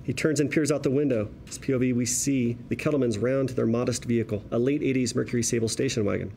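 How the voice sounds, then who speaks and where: Male stage-directions reader: brisk pace, medium pitch, scripted screenplay narration, slight background noise. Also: fairly even intonation, creaky, mellow.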